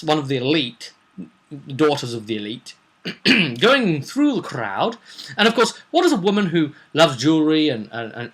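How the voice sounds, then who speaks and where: A man informally recapping a tabletop roleplay session as game master, rambling and animated as he tells the story. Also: a little high. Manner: rambling, animated, acts out quotes.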